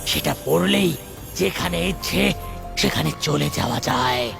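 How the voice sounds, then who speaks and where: A man voicing a quarrelsome cartoon giant demon with a gravelly voice and drawn-out, declaiming delivery. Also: on the high side, lively intonation, exaggerated voice. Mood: boastful explaining. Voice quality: gravelly, creaky. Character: gravelly giant demon squabbling over inheritance. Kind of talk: cartoon fairy-tale voice acting. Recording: loud music bed. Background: music.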